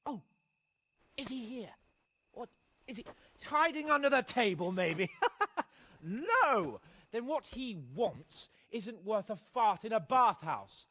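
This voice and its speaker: Spoiled hot-headed young noble, game drama. Very high, lively, mocking delivery. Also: male voice, takes it slowly, pauses often, heavily acted. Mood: haughty mocking. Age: young adult. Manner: haughty, mocking. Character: arrogant, hot-tempered young drunken nobleman. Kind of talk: cinematic game acting.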